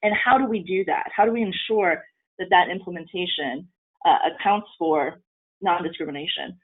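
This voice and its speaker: A woman's voice: on the low side, normal speed, husky. A woman from Taiwan whose first language is Mandarin Chinese, presenting a training webinar in an earnest way with frequent ums and restarts. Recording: tinny phone-line audio.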